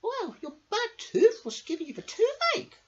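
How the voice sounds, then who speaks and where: Storybook mouse, played by an amateur reader: tiny, brave, helpful male, reassuring, very high pitch, lo-fi recording. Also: huge pitch swings, moderate pace, exaggerated voice. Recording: dull lo-fi home recording.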